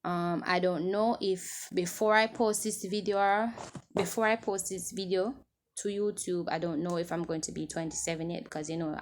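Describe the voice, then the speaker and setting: A woman's voice: lowish voice, slight background noise. A woman in her twenties chatting casually to camera as she answers viewer questions, rambling and restarting as she loses her train of thought. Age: 20s.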